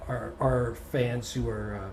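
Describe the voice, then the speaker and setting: A man's voice: mid-range voice, slow pace, gravelly. Scratchy-voiced man talking loosely. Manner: relaxed and thoughtful, many ums, restarts now and then. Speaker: touring blues singer and guitarist. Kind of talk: casual interview answers.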